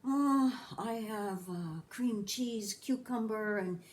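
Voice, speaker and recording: A woman's voice: mid-range voice, slow, recorded from a distance, lightly acted. A woman voicing a contented, earnest schoolboy in a children's storybook. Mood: earnest, pleased. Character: contented schoolboy proudly itemizing his food.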